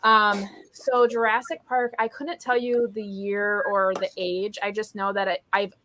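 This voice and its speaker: A woman's voice: mid-range voice, little pitch movement, unhurried, pushed and bright, rough recording. A woman in her thirties hosting a casual book live stream over a video call, chatting in an enthusiastic, informal way. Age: 30s. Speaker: host of a book readalong live show.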